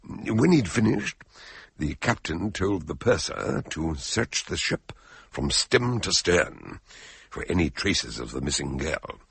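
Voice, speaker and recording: An actor playing a polite, sensible doctor who tells a shipboard mystery in a measured, hesitant way, radio drama style. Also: male voice, low-pitched, big pitch swings, vocal fry, a bit bright, breathy edge, full character voice. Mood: narrating, grave. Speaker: narrator and character in a radio mystery. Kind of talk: radio drama storytelling and dialogue.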